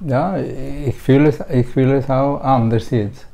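Mellow older male patient. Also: mid-range voice, steady tone, measured pace, gravelly. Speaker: dental patient. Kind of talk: casual testimonial conversation. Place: small dental clinic room. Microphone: lapel mic.

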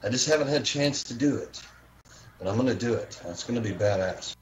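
Man rambling casually, medium-pitched voice. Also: a little fast, a little hiss or hum, rough recording. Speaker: CB radio hobbyist. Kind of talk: casual chat.

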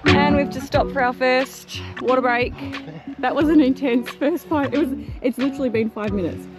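A woman's voice: high voice, fairly even intonation, normal speed, over loud music. A mother narrating a family travel vlog outdoors on an island, chatty, upbeat and informal. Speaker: travel vlogger, mother of three.

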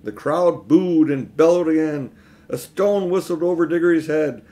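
A middle-aged man reading a children's storybook aloud in expressive narration. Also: a little high, moderate pace. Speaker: audiobook reader. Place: small room at home, indoors.

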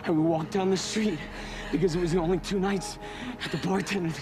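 Desperate, halting man over loud music an actor. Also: sits high, fairly even intonation, natural voice. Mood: anguished confession. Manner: halting, repetitive, emotionally intense. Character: desperate, protective husband confessing and pleading. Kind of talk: live-action screen drama acting. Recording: loud music under the dialogue.

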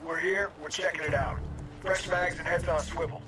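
A man's voice: a little high, fairly even intonation, brisk, close to an everyday voice. A man playing a tough, terse special forces soldier in a military shooter game, giving clipped, urgent commands. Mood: focused, commanding. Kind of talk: terse tactical game dialogue. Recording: game combat ambience noise behind.

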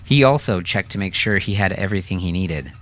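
A man's voice: low voice, animated, a little fast, fairly bright tone. A man reading scripted audiobook fiction as its narrator.

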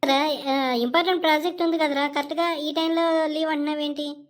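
A woman's voice: high voice, steady tone, fairly quick, close to an everyday voice. A woman voicing a meek, good-natured young male office manager in a comedy cartoon, hesitant and deferential in the way of talking. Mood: pleading, worried. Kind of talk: Telugu comedy cartoon dialogue.

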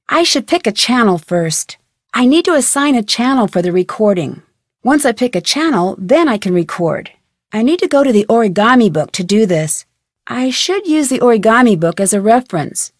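An actress playing a plucky, curious young detective who perkily talks to herself in a video game. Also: female voice, fairly high pitch, no room sound, clean studio sound. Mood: matter-of-fact. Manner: perky, crisp, self-talking. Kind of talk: video game character lines.

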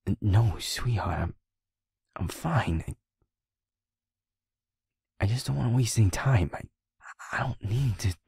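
Casting: male actor as driven young werewolf boyfriend for audio roleplay. Low, very breathy, slow, earnest and wounded. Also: darkish tone, no echo, studio quality, heavily acted. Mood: evasive, reluctant. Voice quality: husky, breathy, often near whisper. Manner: halting, earnest, emotionally strained. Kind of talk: intimate audio roleplay acting.

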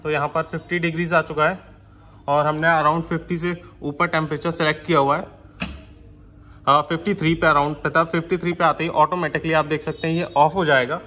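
Man, flat-toned, demonstrating a product. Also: fairly high pitch, a little fast, slight background noise. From India. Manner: explanatory, step-by-step, mixing in English technical terms.

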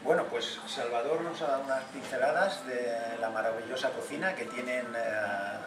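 A man's voice: mid-range voice, moderate pace, very noisy. A man in his forties hosting an interview in a restaurant dining room, enthusiastic and full of fillers. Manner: warm, enthusiastic, with many fillers. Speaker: host. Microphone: far camera mic. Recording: echoey room from far off, with music behind.

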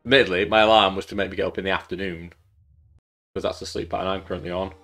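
Brief: stuffy-nosed guy in his thirties, lowish voice, casual rambling streamer talk, faint music. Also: fairly even intonation, very fast, a bit bright. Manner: rambling, chatty, jokey asides. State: congested, losing his voice. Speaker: game streamer host. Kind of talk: casual live-stream chat while playing.

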